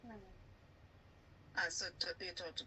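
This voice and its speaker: A woman interviewer asking job interview questions in a halting way, searching for her words. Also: fairly low pitch, level tone, bright, forceful tone, a little hiss or hum.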